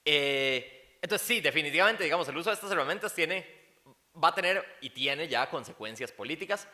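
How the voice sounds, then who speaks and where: Man with a bright voice, hesitant. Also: fairly high pitch, animated, fairly quick. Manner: hesitant, cautious, many fillers and restarts. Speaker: panelist answering a question. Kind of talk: academic panel answer. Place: university lecture hall.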